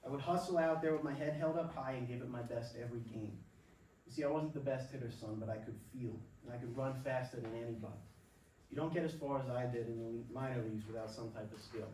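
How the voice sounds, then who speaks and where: A man playing a warm, encouraging father who gives folksy, coaxing pep talks in a staged play reading. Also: mid-range voice, fast talker, lightly acted. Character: warm, encouraging Bronx father, former minor-league ballplayer. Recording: echoey room, far mic, faint noise.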